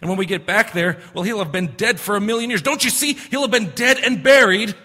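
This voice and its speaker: A man's voice: high voice, a little fast, pushed and bright. A man playing a scheming, cold-blooded killer in an old-time radio drama, insistent and melodramatic. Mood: urgent, scheming. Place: stage in a theater hall. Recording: echoey stage hall reverb.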